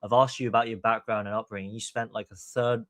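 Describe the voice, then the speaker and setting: A man's voice: fairly low pitch, fairly even intonation, fast talker, a bit bright, no echo, clean studio sound. A man from Hong Kong hosting a podcast, giving opinionated commentary in an emphatic, long-winded way. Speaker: podcast host.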